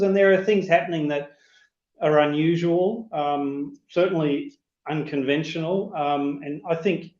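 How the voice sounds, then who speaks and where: A man who is getting over a cold, sounding croaky, presents an economic outlook on a video call with many fillers and restarts. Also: fairly high pitch, darkish tone. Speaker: chief economist, webinar speaker. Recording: roomy, dull remote webinar audio.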